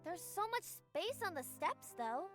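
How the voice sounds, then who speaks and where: A woman's voice: very high voice, big pitch swings, a little slow, soft background music, pitched above the performer's own voice, exaggerated voice. A woman playing a confident, teasing winged courier girl with a casual, playful manner, in a visual novel reading.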